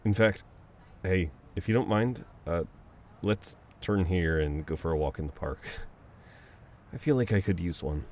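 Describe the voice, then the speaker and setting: A man's voice: sits low, a little slow, a little hiss or hum. A man playing a caring, teasing, dominant best friend in an intimate audio roleplay, talking casually and conversationally. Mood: playful, relaxed. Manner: casual, conversational, with soft chuckles and hesitations.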